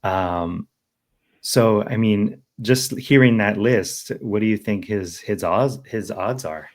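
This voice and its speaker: A man's voice: on the low side, slow, darkish tone. An American man co-hosting a podcast in casual political discussion, thinking aloud and often checking for agreement. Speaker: podcast co-host.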